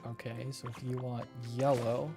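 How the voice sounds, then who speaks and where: A man's voice: mid-range voice, flat delivery, moderate pace. A man doing casual, joking video game commentary, teasing the characters as he plays. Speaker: streamer playing a video game. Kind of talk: casual game commentary, reading dialogue aloud. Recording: faint game music behind. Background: game music.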